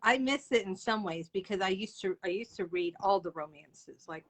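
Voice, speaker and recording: A woman with a mid-range voice hosts a casual live interview. She talks in a chatty, informal way and is quick to laugh. The delivery is conversational and unscripted. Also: medium pitch, fairly bright tone. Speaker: interview host.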